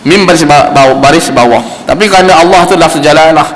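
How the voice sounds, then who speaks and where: A man with a Malaysian Malay accent teaching Quran recitation in an informal, explanatory way, slowly demonstrating sounds as he goes. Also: fairly high pitch, crowd noise. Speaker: religious teacher giving Quran recitation class. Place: mosque hall. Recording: roomy echoing hall, faint background noise.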